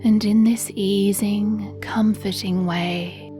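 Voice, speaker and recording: Slow, mellow woman guiding sleep meditation. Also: mid-range voice, fairly even intonation, soft background music. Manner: soothing, hushed, breathy delivery with long pauses. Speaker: meditation guide. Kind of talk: guided sleep meditation, scripted narration.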